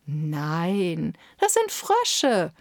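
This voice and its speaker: A woman voicing a poor little kitchen girl who talks high, soft and earnest. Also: huge pitch swings, very slow, dry recording, clean studio sound, heavily acted. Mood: eager.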